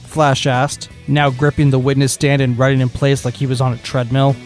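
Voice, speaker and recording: A man's voice: mid-range voice, little pitch movement, moderate pace. A man reading fan fiction as narrator, in scripted storytelling style. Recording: music under the voice.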